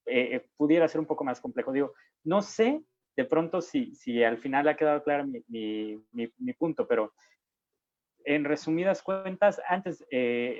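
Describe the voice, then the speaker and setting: A man's voice: fairly high pitch, no echo, muffled recording. Hesitant young man on a call. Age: young adult. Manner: hesitant, many fillers and restarts. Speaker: panelist, young political activist. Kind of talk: online panel discussion. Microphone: video call.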